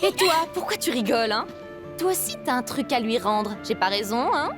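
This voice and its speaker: A teasing teenage schoolgirl for a French game dub. The voice is very high and swings wildly in pitch, talkative and exclamatory, with music clearly underneath. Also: sing-song, normal speed. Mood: mock offended. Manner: exclamatory, playful. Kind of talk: French video game dubbing. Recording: music behind the voice.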